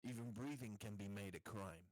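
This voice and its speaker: A man's voice: fairly low pitch, moderate pace, darkish tone, dry recording, poor audio. A man playing a tabletop game, arguing casually in a blunt, insistent way. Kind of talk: casual argumentative table talk.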